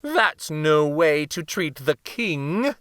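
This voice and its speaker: A man's voice: fairly high pitch, sing-song, slow pace, fairly bright tone, no room sound, clean studio sound, pitched above the performer's own voice, full character voice. A man voicing a fussy, pampered king in a children's storybook, whiny and imperious, given to exclaiming. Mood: stern.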